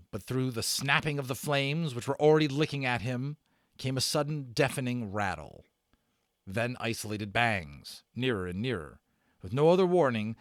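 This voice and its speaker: A man narrating a children's adventure novel aloud as a dramatic read-aloud, with theatrical emphasis and deliberate pauses. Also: mid-range voice, dry recording, studio quality. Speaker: audiobook narrator and channel host.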